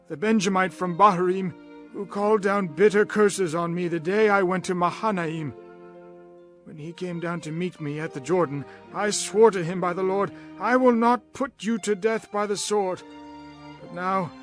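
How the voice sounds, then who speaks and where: An actor playing a dying old king in a dramatized scripture reading, solemn, deliberate and commanding. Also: high voice, a bit bright. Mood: grave, stern.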